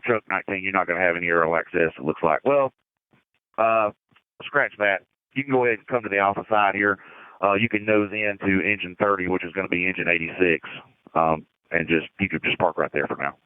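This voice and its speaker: A man's voice: sits low, quick pace, clean tone, no echo. A man working as a fire incident commander, giving clipped, procedural instructions over a two-way radio. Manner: procedural, reads back messages. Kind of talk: clipped radio dispatch communication. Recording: phone-like emergency radio transmission.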